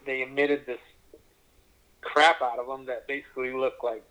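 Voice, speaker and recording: Bright-voiced rambling man, easygoing. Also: mid-range voice, little pitch movement, normal speed, low-quality recording. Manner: loose and rambling, full of fillers and swearing. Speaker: guest. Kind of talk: casual chat with an old friend.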